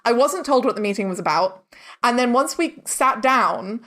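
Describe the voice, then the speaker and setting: A woman's voice: fairly high pitch, expressive, normal speed, fairly bright tone. A woman talking casually as an interview guest, informal and chatty, peppering her speech with "like" and "kind of". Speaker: interview guest, audio drama director and producer.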